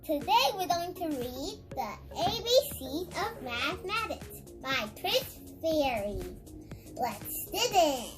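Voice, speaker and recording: A child host reading an educational picture book aloud in a deliberate, instructive way, speaking directly to viewers. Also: extremely high pitch, sing-song, music in the background.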